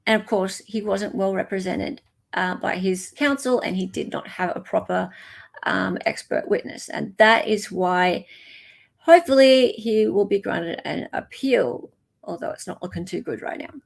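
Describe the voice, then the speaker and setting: Creaky-voiced Australian woman chatting. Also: mid-range voice, animated, unhurried. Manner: chatty, informal, greets viewers mid-talk. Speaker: livestream host. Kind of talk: casual livestream chat and commentary.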